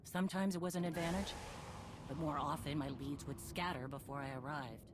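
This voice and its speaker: A woman's voice: low voice, steady tone, a little fast, close to an everyday voice. An actress playing a stern, determined female warrior leader and former bounty hunter in a game cutscene, speaking in terse commands. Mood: grave, resolute. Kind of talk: scripted game cutscene acting. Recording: clear background noise and ambience.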